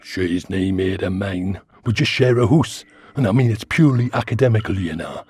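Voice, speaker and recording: Comic creature role: chatty Geordie giant worm, dark gravel-husky voice, rambling and cheeky. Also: male voice, low-pitched, moderate pace, no room sound. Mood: exasperated. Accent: Geordie, northeast England. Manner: rambling, blunt, colloquial. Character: cheeky, chatty twenty-foot talking lantern worm. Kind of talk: comic radio sitcom acting.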